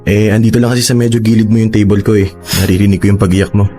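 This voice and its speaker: A man voicing a kind stranger at the next table who speaks gently. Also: on the low side, steady tone, rapid-fire, faint music behind, natural voice. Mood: gentle.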